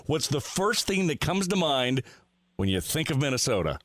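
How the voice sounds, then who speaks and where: A man's voice: a little high, sing-song, moderate pace, a bit bright, no echo. A male radio host chatting with callers in an upbeat, joking way from a studio. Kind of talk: casual morning radio chat.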